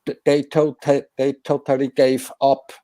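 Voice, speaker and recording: Man, from Switzerland, mellow dark voice, emphatic, repetitive phrasing, football talk, dry sound. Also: medium pitch, flat delivery, measured pace, no echo. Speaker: guest, businessman and former football player. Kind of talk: animated opinion talk about football.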